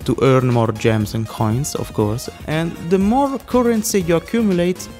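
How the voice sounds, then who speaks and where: A man from Italy with an Italian accent, reading a scripted video narration at a quick pace. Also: a little high, darkish tone, faint music behind. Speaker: YouTube narrator.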